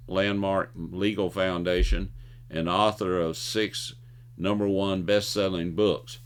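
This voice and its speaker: Deep-voiced folksy older man. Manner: folksy, opinionated, stumbles over words while reading. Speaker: host of a video commentary show. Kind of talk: scripted commentary with jokes and anecdotes.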